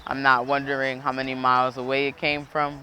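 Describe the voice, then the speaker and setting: A man's voice: mid-range voice, monotone, a little fast, pushed and bright, a little hiss or hum. A man, an urban farmer, speaking reflectively as if in an interview. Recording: music laid under the voice.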